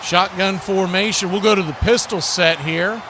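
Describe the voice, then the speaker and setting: Male play-by-play announcer, high-pitched, short punchy football calls, noisy live broadcast. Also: moderate pace. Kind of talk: live radio football commentary.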